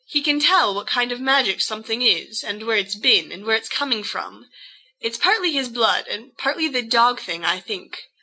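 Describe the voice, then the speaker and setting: A woman's voice: a little high, lively intonation, normal speed, sharp tone, slightly rough, heavily acted, low-quality recording. A woman as a sheepish, rambling young husband. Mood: explaining, hesitant. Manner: hesitant, rambling, gabbling.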